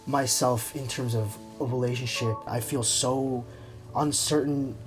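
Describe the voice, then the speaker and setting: A man's voice: medium pitch, fairly even intonation, a little slow, soft background music, poor audio. A young man speaking candidly and reflectively in an interview, often hesitating as he searches for his words. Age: young adult. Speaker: interview subject.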